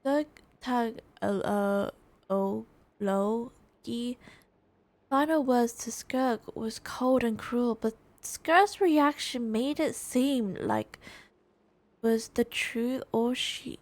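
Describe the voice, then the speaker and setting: A woman gaming streamer giving casual reaction commentary, haltingly thinking aloud as she goes. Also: fairly high pitch, a little mellow.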